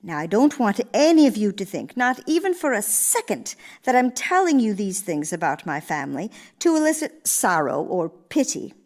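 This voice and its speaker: A woman performs an elderly, genteel former First Lady in a theatrical radio monologue. She is gracious and reflective, shaping her phrases deliberately. Her pitch moves widely and expressively as she tells her story. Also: very animated, darkish tone. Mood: reflective, confiding. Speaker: stage actress.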